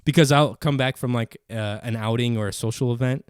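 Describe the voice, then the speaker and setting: A man's voice: medium pitch, steady tone, a little fast, clear, resonant tone, dry recording. A man with a mid-range voice talks casually on a podcast. He rambles in a conversational way and drops in swearing, and the sound is dry with almost no room. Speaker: podcast host. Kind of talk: casual chat.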